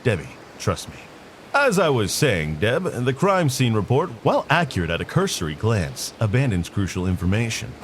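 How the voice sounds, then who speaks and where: A man's voice: fairly low pitch, sing-song, normal speed, a little hiss or hum. A man playing an eccentric, chatty FBI agent in an audio drama, with formal, articulate and quirky speech. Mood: brisk, quirky. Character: eccentric, chatty male FBI agent dictating to tape recorder.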